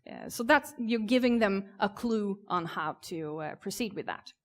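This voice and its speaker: A woman from southern Sweden with a Swedish accent, presenting informally and conversationally as a conference speaker, with hesitant pauses. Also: mid-range voice, expressive. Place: conference stage.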